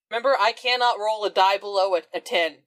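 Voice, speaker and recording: A woman's voice: mid-range voice, normal speed, a bit bright, poor audio. A woman player talking casually through her moves in a tabletop game.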